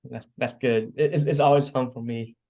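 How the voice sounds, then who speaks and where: A man's voice: medium pitch, fairly even intonation, moderate pace, darkish tone. American man hosting an interview, mid-range voice. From North Carolina, United States. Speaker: podcast host, interviewer. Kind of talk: interview questions.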